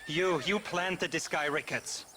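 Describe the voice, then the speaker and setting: A man's voice: a little high, measured pace, bright, forceful tone, slight background noise. A man playing an angry, suspicious German gambler in a video game cutscene, aggressive and accusatory, with a German accent. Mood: hostile.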